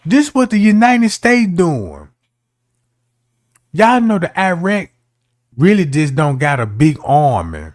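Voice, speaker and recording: Slow-talking high-voiced man ranting. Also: sing-song, no room sound, studio quality. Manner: slangy, conversational, argumentative. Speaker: streamer. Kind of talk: casual commentary rant.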